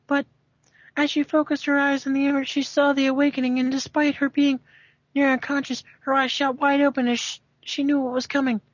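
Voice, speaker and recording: A woman's voice: high voice, no echo. A woman doing an amateur read-aloud of a story, stumbling over words and sounding amused. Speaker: amateur fanfiction reader. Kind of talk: amateur dramatic reading with casual asides. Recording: dry, muffled home recording.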